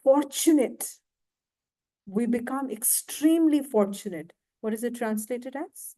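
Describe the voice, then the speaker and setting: A woman giving a devotional lecture to a temple audience, slow and often asking questions. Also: a little high, dark tone. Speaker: guest lecturer. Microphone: handheld mic, failing at times.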